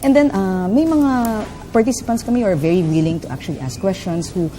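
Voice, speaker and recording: A woman's voice: medium pitch, animated, quick pace, mellow, soft background music. A woman life coach with a Filipino accent, explaining her methods in an interview and mixing English with Filipino. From Philippines. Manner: fluent, explanatory, frequent fillers and tag "no?".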